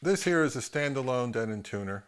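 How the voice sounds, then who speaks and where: A middle-aged man giving a casual, unscripted gear demonstration, thinking aloud in halting phrases as he handles the equipment. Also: medium pitch, animated, clear, resonant tone. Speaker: host of an audio gear review channel.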